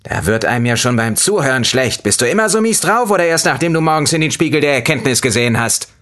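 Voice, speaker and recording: A man voicing a furious, insulting female lemon who mocks in a shrill, manic way, as a storybook figure. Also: animated, fast talker, a bit bright, natural voice. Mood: scornful rant.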